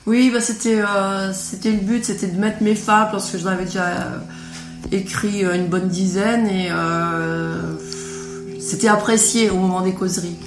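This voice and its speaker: A woman from Noirmoutier, a native patois speaker, answering a radio interviewer in a halting way with many hesitations. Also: medium pitch, steady tone. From Noirmoutier island, France. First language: patois of L'Épine. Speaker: author being interviewed. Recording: roomy radio interview with music behind.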